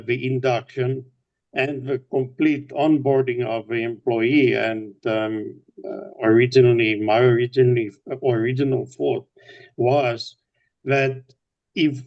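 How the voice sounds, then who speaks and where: Native Afrikaans man, hesitant casual talker, medium pitch, dull lo-fi recording. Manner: halting, many fillers and restarts. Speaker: participant sharing ideas. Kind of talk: casual discussion. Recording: dull lo-fi sound.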